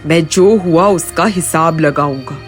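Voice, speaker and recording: A woman voices a poor village father in a cartoon folk tale, troubled and solemn. The words come slowly and earnestly, over faint music. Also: low-pitched, voice pushed down. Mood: grim, determined. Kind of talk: animated Hindi moral story voice-over. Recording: music behind the voice.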